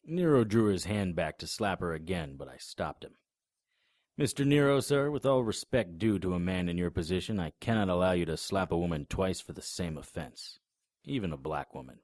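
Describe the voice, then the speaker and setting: A man's voice: deep voice, lively intonation, normal speed, a little mellow, no room sound. A man narrating and acting the lead in a noir audio drama, dry and wry in manner.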